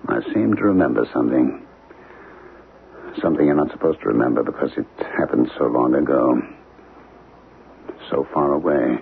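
An actor in a 1970s radio drama playing an earnest, obsessed professor who speaks in a halting, insistent way. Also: male voice, a bass voice, creaky. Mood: troubled, weary. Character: earnest, obsessed male professor haunted by ancient memories. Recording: lo-fi narrowband radio recording with hiss.

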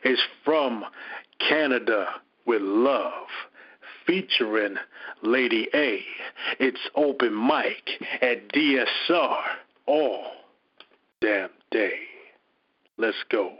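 A man DJ announcing the start of the show in an emphatic, drawn-out hype style. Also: mid-range voice, dull audio.

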